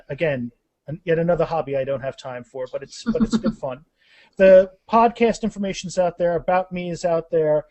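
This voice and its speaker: A man chatting casually as a podcast guest, joking and poking fun at himself in an animated way. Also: a little high, no room sound. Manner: joking, self-mocking, animated.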